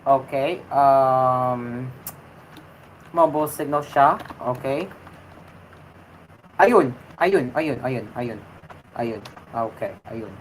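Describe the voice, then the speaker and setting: A woman's voice: rumbling low, drawn-out words, long pauses, a little hiss or hum, poor audio. A woman hosting a casual livestream, speaking hesitantly with many fillers and restarts and switching between Tagalog and English, which is her second language after English. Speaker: host of a livestreamed show.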